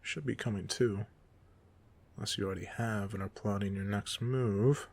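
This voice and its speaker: A man playing a goofy, soft-hearted supervillain off duty in an audio roleplay, talking casually with teasing, self-deprecating humor. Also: fairly low pitch, steady tone, normal speed. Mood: wry, casual. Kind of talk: ASMR roleplay monologue, casual conversational acting.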